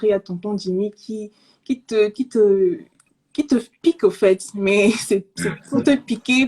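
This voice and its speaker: A woman from Cameroon, raised in Zimbabwe in an English-speaking home, speaking softly and hesitantly with many fillers as an interview guest over a call microphone. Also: medium pitch, husky. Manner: soft-spoken, hesitant with many fillers and restarts. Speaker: guest, pastry entrepreneur. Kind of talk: casual online interview. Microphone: remote call microphone.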